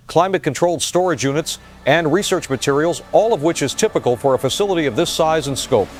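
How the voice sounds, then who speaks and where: A man's voice: fairly high pitch, lightly acted. A man playing a matter-of-fact field correspondent, giving a live news report with slight hesitations.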